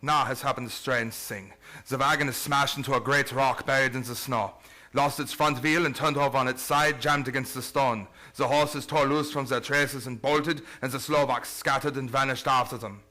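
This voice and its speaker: An actor playing an urgent, commanding vampire hunter in a radio play, solemn and dramatic, with stilted phrasing. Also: male voice, medium pitch, steady tone, normal speed, bright, forceful tone, natural voice.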